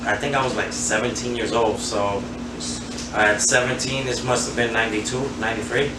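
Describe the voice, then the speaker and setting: New man, flat and roomy. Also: mid-range voice, normal speed, recorded from a distance. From Queens, New York. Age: 40s. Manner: relaxed, rambling, many fillers. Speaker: interview guest, handball player. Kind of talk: casual interview, reminiscing. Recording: roomy, off-mic, noisy.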